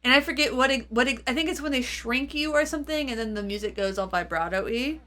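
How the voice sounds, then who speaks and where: A woman's voice: high voice, fast, sharp tone. A woman giving an informal, off-the-cuff gear demo, thinking aloud in loose fragments as she reviews. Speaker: gear reviewer and channel host. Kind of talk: casual, off-the-cuff product demo chat. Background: electric guitar playing through effects pedals.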